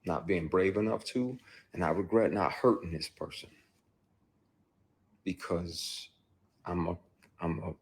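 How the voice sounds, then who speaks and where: A man's voice: low voice, measured pace, long pauses, husky. A man, an actor, telling his own story bluntly and candidly, with a lot of profanity.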